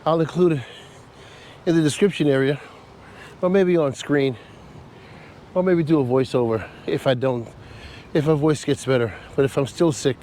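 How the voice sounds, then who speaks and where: A man sounding nasal and congested from a cold talks on a camera mic outdoors, rambling and chuckling at his own complaints. His voice sits a little high for a man, with faint traffic noise in the background. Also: moderate pace, long pauses, mellow. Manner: chatty, rambling, laughs at himself. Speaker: travel vlogger. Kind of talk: casual walking vlog. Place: outdoor park by a causeway bridge.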